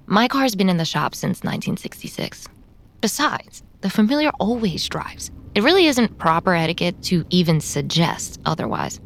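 A woman playing an ageless, jaded female vampire in an audio drama, dry, haughty and deadpan. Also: lowish voice, normal speed, gravelly, a little hiss or hum. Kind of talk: naturalistic audio drama acting.

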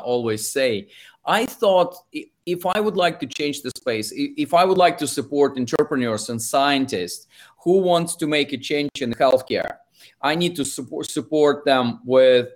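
A man from Russia with a Russian accent, answering interview questions as an investor, often restarting his phrases. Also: medium pitch. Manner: halting, restarts phrases, hesitant fillers. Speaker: investor and author.

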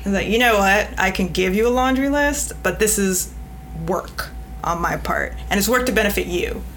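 A woman's voice: medium pitch, normal speed, a bit bright, slight background noise. A woman guest on a panel interview, speaking emphatically and often voicing imagined replies as quotes. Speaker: podcast guest, literary editor.

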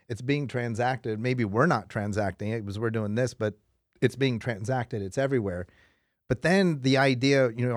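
A man's voice: medium pitch, dry recording, studio quality. A man, a podcast guest, thoughtfully sharing a lesson in casual talk.

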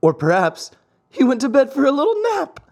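A man's voice: extremely high pitch, huge pitch swings, fairly quick, vocal fry, darkish tone, pitched above the performer's own voice, full character voice. A man voicing sneering, bullying schoolboys in a storybook, jeering and scolding. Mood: teasing. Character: gang of sneering, bullying schoolboys, threatening and teasing.

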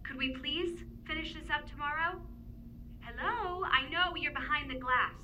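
A woman's voice: sits high, moderate pace, close to an everyday voice. A woman playing a polite, formal android nurse in an audio drama, protective and insistent. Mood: insistent, concerned. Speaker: robot nurse caring for a patient. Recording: roomy echo, faint background noise.

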